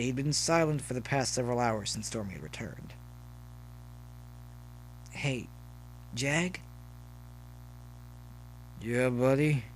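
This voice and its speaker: A man narrates a scripted story in a medium-pitched voice. He reads prose as a storyteller would. A faint hum sits behind the recording. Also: rough recording. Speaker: narrator, fan fiction reader.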